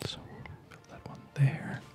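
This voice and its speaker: Someone whispering breathy ASMR commentary while playing a video game, musing aloud in short, halting phrases. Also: over music. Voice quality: breathy, mostly unvoiced whisper. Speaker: gamer narrating own gameplay.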